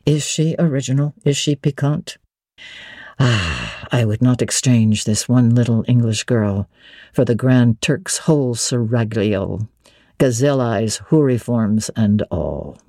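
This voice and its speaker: A woman reading a classic novel aloud, voicing a wealthy, amused, possessive gentleman in love, with grand, teasing relish. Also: rumbling low, lively intonation, measured pace, dry recording, clean studio sound, pitched below the performer's own voice, full character voice. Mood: amused, adoring. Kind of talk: dramatic classic audiobook reading.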